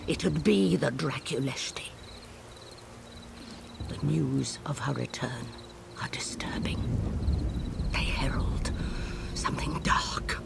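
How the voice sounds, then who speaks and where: Slow, creaky, ancient owl. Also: medium pitch. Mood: hushed foreboding. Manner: slow, folksy, musing, theatrical. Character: ancient talking owl, centuries old, wry and cryptic. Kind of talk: video game cutscene acting.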